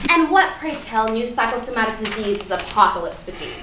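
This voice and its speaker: Woman playing a needling sarcastic young woman, high-pitched, exasperated, stage play, echoey and off-mic. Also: expressive, fast talker, close to an everyday voice. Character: exasperated, sarcastic young female best friend. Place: live staged reading in a room. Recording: echoey room, distant mic, faint noise.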